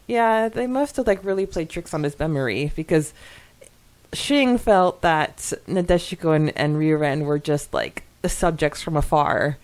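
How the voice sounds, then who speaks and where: A woman with a slightly low voice co-hosts a podcast. She reads listener comments aloud and drops in casual, expressive asides as she goes, in a chatty conversational style. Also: measured pace. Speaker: podcast co-host.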